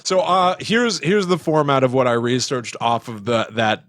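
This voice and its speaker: A man's voice: medium pitch, moderate pace, fairly bright tone, dry recording, clean studio sound. A man hosting a casual podcast, talking conversationally with wry, exaggerated commentary. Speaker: podcast host.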